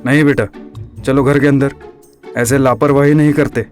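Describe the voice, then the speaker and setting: A man's voice: medium pitch, steady tone, moderate pace, soft background music, lightly acted. A man playing a fussy middle-aged father in a comic audio drama. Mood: concerned.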